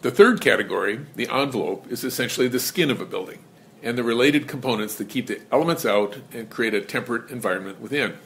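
A man presenting an educational lesson in measured, scripted narration. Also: medium pitch, vocal fry, fairly bright tone. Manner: measured, explanatory.

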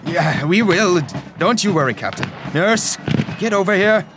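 A man plays a brisk army doctor in an audio drama. His voice sits fairly high, pompous and commanding as he talks down to others. Light music is behind him. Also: lively intonation. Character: brisk, authoritative male army doctor, patronising.

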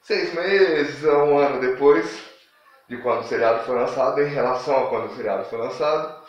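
A man's voice: medium pitch, fairly quick. Male Brazilian vlogger, slangy and self-deprecating, dull lo-fi sound with heavy room echo. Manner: informal, self-deprecating, rambling. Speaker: YouTube channel host. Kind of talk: casual opinion vlog, slangy. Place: small dim room at home, indoors.

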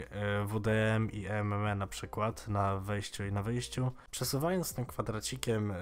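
A man in his twenties giving a casual, informal software tutorial in a slightly halting way. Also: lowish voice. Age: 20s. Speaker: tech tutorial host.

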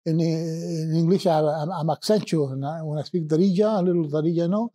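A man with a Puerto Rican accent, a poet telling stories in a rambling, reminiscing way with filler pauses. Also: on the high side, dark tone. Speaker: poet guest. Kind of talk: relaxed conversational storytelling.

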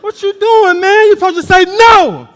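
A man playing an animated, bossy buddy in a stage comedy, emphatic and exaggerated, heard in a reverberant theatre. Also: extremely high pitch, moderate pace, a faint crowd. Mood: excited, insistent. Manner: exaggerated, emphatic, mock-pleading. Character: animated, bossy male buddy coaching his friend in romance. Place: indoor theatre stage, far camera.

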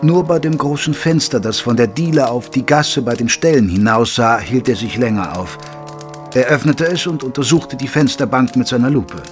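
An actor playing an observant gentleman who narrates an audio drama in a measured, literary manner. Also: mid-range voice, close to an everyday voice. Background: music.

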